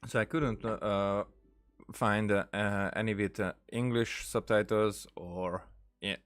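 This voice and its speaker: Male streamer, low register, casual let's-play commentary, halting, repeats himself while thinking aloud. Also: steady tone, fairly bright tone, faint music behind. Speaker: gaming streamer. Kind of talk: casual game playthrough commentary.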